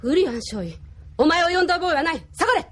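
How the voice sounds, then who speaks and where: A woman's voice: very high voice, animated, quick pace, a bit bright. An actress playing a strict, formal female military aide in an anime audio drama. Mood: sharp, dismissive. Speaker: voice actor.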